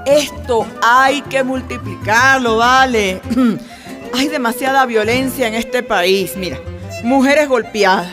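Sixty-year-old woman activist, Venezuelan accent, warm and feisty, folksy, fairly high voice, radio drama, music bed. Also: takes it slowly. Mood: indignant, resolute. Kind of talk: radio drama acting, colloquial.

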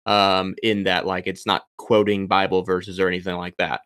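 A man's voice: sits low, level tone, moderate pace, fairly bright tone, clean tone, no echo, clean studio sound. A young man, a doctoral student, talking as a podcast guest, thinking aloud with frequent ums and restarts. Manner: thoughtful, many ums and restarts. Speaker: podcast guest, PhD candidate. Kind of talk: casual interview chat.

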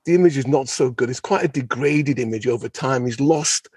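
A man's voice: medium pitch, a little fast, darkish tone, slightly rough, no room sound, clean studio sound. A man talking conversationally about history as a podcast guest, enthusiastic and digressive, often repeating phrases for emphasis. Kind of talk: unscripted, conversational history discussion.

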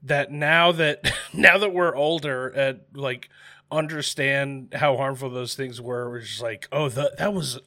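Bright-voiced man in his thirties, casual. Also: medium pitch, steady tone, unhurried. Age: 30s. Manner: laid-back, self-mocking, laughs often. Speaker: podcast co-host. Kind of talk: casual chat.

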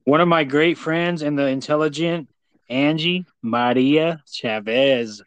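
A man's voice: fairly high pitch, a little slow, a bit bright, clean tone, no room sound. An American man hosting a casual interview, talking effusively in a rambling way with many repeats. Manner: effusive praise, rambling, repeats "you know". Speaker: podcast host.

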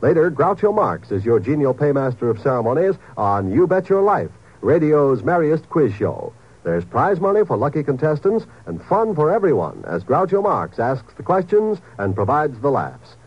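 Husky, animated vintage radio announcer man. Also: fairly high pitch, moderate pace. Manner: polished salesmanlike delivery. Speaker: network announcer. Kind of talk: 1950s radio promotional announcing. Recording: dull 1950s radio recording.

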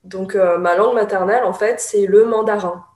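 A woman's voice: medium pitch, steady tone, moderate pace, a little mellow, roomy sound. A young woman from Taiwan, a guest in a casual chat, speaking thoughtfully with many hesitations. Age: young adult.

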